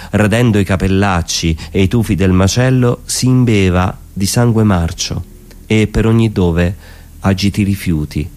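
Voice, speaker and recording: Deep-voiced man reciting poetry. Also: darkish tone.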